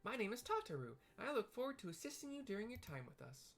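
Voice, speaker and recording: A man voicing a small, cheery female game receptionist who speaks politely and primly in a high, sing-song falsetto. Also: big pitch swings, quick pace, creaky, full character voice. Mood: cheerful, welcoming. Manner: formal, courteous phrasing. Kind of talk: acted game dialogue read aloud.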